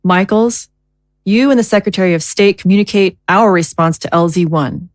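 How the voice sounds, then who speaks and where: An actress playing a commanding government leader in an audio drama, speaking with formal, clipped authority. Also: female voice, medium pitch, moderate pace, dry recording, lightly acted.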